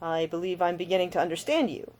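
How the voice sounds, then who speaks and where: A woman voicing a large, cheery, roguish idle gentleman in an audiobook, with a formal, lightly amused drawl. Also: lowish voice, fast talker, lightly acted. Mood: teasing. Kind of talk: audiobook character dialogue.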